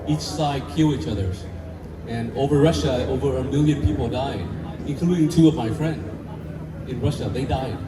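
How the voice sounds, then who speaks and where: A man, talking earnestly and haltingly with restarts as a filmmaker interviewed at an outdoor festival. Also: mid-range voice. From Vietnam. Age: 50s. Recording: echoey, distant mic with crowd noise.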